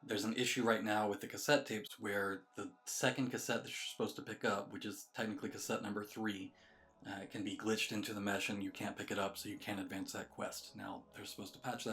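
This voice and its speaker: Male game-guide narrator, low and flat in delivery, matter-of-fact and casual, with faint music underneath. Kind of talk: casual video game walkthrough commentary.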